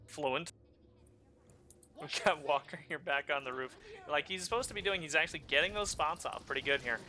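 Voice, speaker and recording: A man whose native language is German, giving excitable live play-by-play commentary on a video game match. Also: a little high, slight background noise. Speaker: esports commentator.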